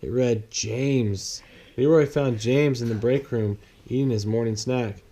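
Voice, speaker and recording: Mellow-voiced man reading a bedtime story. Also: medium pitch, steady tone, normal speed. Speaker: storybook reader, a dad reading aloud. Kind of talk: children's bedtime story read aloud.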